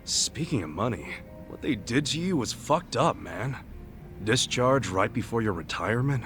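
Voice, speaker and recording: A man's voice: mid-range voice, very animated, normal speed, over music. An actor with an American accent playing an earnest, easygoing, streetwise man in a video game, talking casually and bluntly. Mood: earnest, concerned. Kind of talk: dubbed video game cutscene acting.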